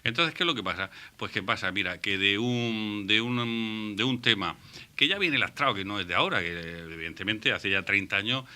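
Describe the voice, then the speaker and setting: A man from Murcia, a panelist on a radio debate show, who talks in a halting way and often restarts his words. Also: fairly low pitch, expressive, pushed and bright. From Murcia, Spain. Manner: halting, repeats and restarts words while reasoning.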